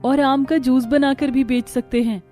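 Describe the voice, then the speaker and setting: A woman voicing an eager, thrifty young Indian daughter-in-law in a family cartoon, chatty and animated. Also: high voice, moderate pace, soft background music, pitched above the performer's own voice, close to an everyday voice. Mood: excited, eager. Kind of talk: Hindi family cartoon dialogue.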